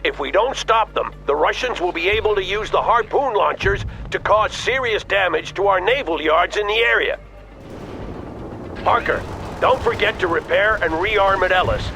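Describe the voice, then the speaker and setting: An actor playing a stern, resolute army colonel in a military video game, speaking in clipped, authoritative orders. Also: male voice, sits high, expressive, moderate pace, bright, forceful tone, soft background music, exaggerated voice. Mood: urgent, commanding. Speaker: military commander.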